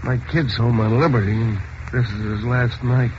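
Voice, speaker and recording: A man's voice: medium pitch, fairly even intonation, moderate pace, lightly acted. An actor playing a mild, neighborly middle-aged father and blackout warden in a 1940s radio drama. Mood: subdued, neighborly. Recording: noisy, dull 1940s radio recording.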